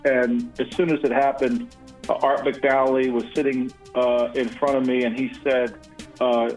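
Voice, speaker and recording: A man's voice: mid-range voice, flat delivery, measured pace, a little mellow, soft background music, low-quality recording. A man recalling an event in an interview, speaking hesitantly with fillers. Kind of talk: documentary interview.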